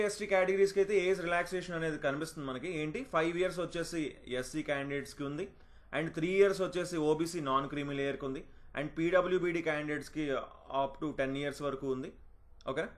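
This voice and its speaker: Fast-talking man. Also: on the high side. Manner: instructive, often checking in with the listener. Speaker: job notification presenter. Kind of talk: informal explainer of a job notice, mixing in English terms.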